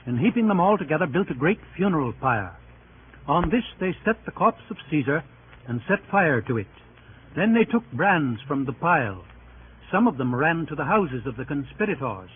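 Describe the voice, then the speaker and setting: A man with a fairly high voice delivers scripted, formal narration for a vintage radio play. His delivery is dramatic and declarative. The sound is narrow and tinny with noticeable noise behind it. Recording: tinny, noisy old radio recording.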